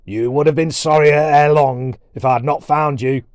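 A man voicing a boisterous dwarf warrior prince with a booming voice and grand, archaic speech, in a storybook reading. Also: fairly even intonation, normal speed, a little mellow, no echo, studio quality, natural voice. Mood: gruff, impatient. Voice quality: deep, booming.

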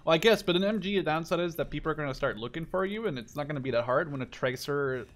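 A man in his twenties from the Netherlands, chatting casually and joking with a friend while playing a shooter game, with sudden excited shouts. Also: on the high side, quick pace. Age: 20s. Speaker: gaming channel host. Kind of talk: casual gameplay chat with a friend.

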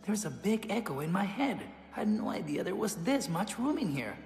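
A self-doubting young animated prince with a wry streak. His high voice moves expressively, carrying long reverb over faint music. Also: a little fast. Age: young adult. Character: anxious, wry twenty-year-old cartoon prince. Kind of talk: animated film acting.

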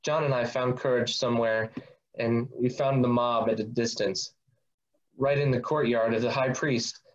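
A man's voice: medium pitch, fairly even intonation, moderate pace, natural voice. A man acting as an impulsive disciple full of remorse, confessing in a dramatic reading. He halts at times, and the sound is slightly muffled, like a video call. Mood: ashamed. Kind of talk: amateur dramatic monologue reading.

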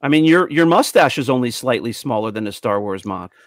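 Mid-pitched man hosting casual banter. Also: lively intonation, fairly quick, no echo, clean studio sound. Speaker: podcast host moving segments along.

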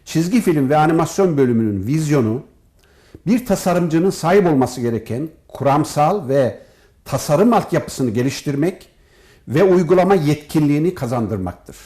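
Guy, kinda high but really soft mellow voice, formal professor giving measured interview answers. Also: lively intonation. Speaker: professor and department head, interview guest.

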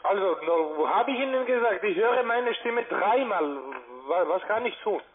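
A man's voice: high-pitched, animated, moderate pace, a crowd in the background. A man with a foreign accent speaking as a call center agent over a tinny telephone line. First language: not German. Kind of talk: phone conversation.

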